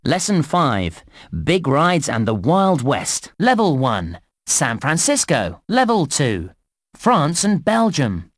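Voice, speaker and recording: A man playing an excitable theme park announcer in a children's game, with theatrical, carefully enunciated scripted delivery. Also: on the high side, no room sound, clean studio sound, full character voice. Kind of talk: scripted game voice-over, news flashes and menu prompts.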